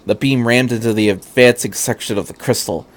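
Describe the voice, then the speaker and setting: A man's voice: mid-range voice, a little fast. A man reading fan fiction aloud as a narrator, with an earnest, dramatic delivery.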